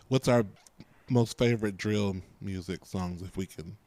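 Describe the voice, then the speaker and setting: A man co-hosting a podcast in casual chat, playful and teasing, with his pitch jumping up when excited. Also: unhurried. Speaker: podcast co-host.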